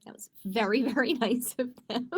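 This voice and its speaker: A woman's voice: a little high, normal speed, husky. A woman hosting a livestreamed children's storytime as a librarian, reading aloud expressively and chatting to young viewers with questions.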